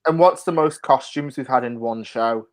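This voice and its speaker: A woman hosting a panel game in a playful, excitable, teasing manner. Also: very deep voice, moderate pace. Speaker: host of a panel show.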